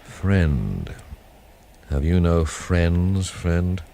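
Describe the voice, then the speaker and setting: Deep-voiced wry noir investigator. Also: male voice, very slow, creaky, a little hiss or hum, full character voice. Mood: cool, sardonic. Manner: deadpan, dry, sardonic. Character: wry, cynical Englishman investigator narrating his own story. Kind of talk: noir radio drama narration.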